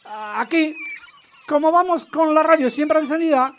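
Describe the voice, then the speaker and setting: A man's voice: extremely high pitch, unhurried, fairly bright tone. An actor playing a chatty, boastful man in his eighties, a retired financier and yachtsman calling a radio show. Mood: cheerful, chatty. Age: 80s. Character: spry, chatty 82-year-old widowed retired financier and yachtsman. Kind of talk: radio comedy drama, phone-in caller. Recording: faint noise, harbour gulls behind.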